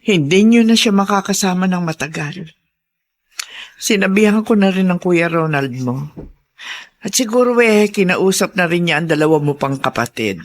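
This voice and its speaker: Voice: medium pitch, animated, normal speed, natural voice. A performer playing a concerned older neighbour woman in a radio drama, hesitant, confiding and pleading. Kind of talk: Tagalog radio drama acting.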